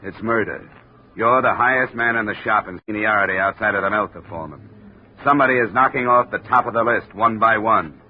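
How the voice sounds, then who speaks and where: An actor playing a tough, persistent insurance investigator in a 1950 radio drama, matter-of-fact and pointed. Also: male voice, on the low side, fairly quick, natural voice. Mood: stern warning. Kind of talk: 1950 radio detective drama acting and narration. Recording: noisy, muffled old radio recording.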